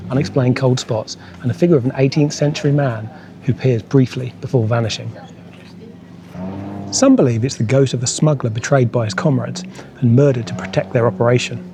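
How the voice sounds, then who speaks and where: A man's voice: mid-range voice, steady tone, brisk, background noise. A man hosting a motorcycle travel vlog, narrating local history and legends in a storytelling style.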